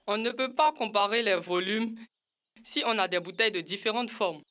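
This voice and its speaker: Cartoon child, high-pitched, bright, assured, explanatory, sensible. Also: male voice, moderate pace. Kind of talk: animated educational children's cartoon acting.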